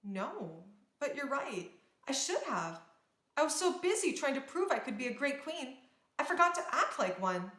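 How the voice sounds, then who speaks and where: Woman reading as young storybook princess, brave, earnest, commanding, high bright voice, roomy echo. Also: very animated, moderate pace, exaggerated voice. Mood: regretful. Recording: roomy, echoing sound.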